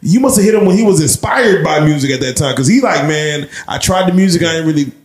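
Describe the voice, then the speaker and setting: A man's voice: fairly high pitch, animated, quick pace, roomy sound. A man hosting a casual interview, laid-back, prompting his guest with easy questions. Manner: laid-back, laughs along, short backchannel replies. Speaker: host.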